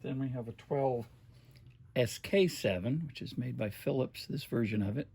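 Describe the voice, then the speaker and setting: A man giving a casual hands-on demonstration, talking in an unhurried, halting way as he works. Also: mid-range voice, creaky, mellow, breathy edge. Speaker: host of a vintage radio restoration video.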